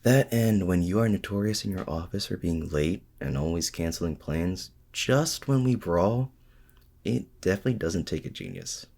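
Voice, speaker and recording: Lonely mad-scientist villain, played by a man, for ASMR audio drama: rock-bottom register, gravelly, halting and earnest. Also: deep voice. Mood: awkwardly chatty. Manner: hesitant, halting, softly sincere. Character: lonely, awkward supervillain mad scientist, male, confiding in his rival. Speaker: audio roleplay performer. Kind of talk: intimate ASMR audio roleplay acting.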